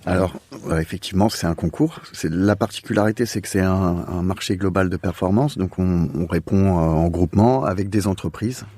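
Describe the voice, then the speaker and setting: Man on a podcast, deep voice, very dry recording, explains things bit by bit, hesitant. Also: fairly quick, few pauses, mellow, no echo. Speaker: architect, interview guest. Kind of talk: conversational explanation.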